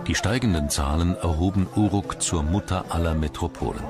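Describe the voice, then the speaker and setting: A man reads scripted documentary narration in a measured, storytelling way. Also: low-pitched. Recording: loud music under the voice. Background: loud background music.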